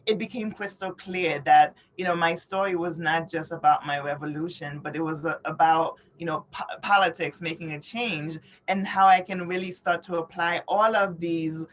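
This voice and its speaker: A woman's voice: fairly low pitch, fairly even intonation, moderate pace, hardly any pauses. Woman explaining earnestly in an interview. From born in Haiti, raised in New York City. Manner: earnest, explanatory, frequently checks with "you know" and "right?". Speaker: interview guest, author and advocate. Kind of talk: interview answers.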